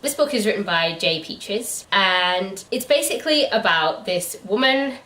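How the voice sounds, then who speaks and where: A woman co-hosting a book review channel, chatting casually in an animated, incredulous way. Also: mid-range voice, unhurried, distant mic. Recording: echoey room, away from the mic.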